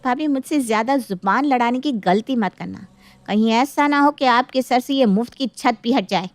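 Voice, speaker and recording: Woman playing a high-pitched, greedy, haughty grown daughter. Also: moderate pace, dry recording, soft background music, natural voice. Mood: scornful. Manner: scolding, imperious, threatening. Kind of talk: dramatic moral-story acting.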